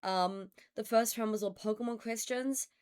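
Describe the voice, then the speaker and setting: Hoarse woman streamer chatting with chat. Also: fairly high pitch, steady tone, normal speed, darkish tone. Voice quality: raspy, slightly gravelly. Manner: chatty, greets chat by name. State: hoarse, recovering from lost voice. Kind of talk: casual live-stream chat.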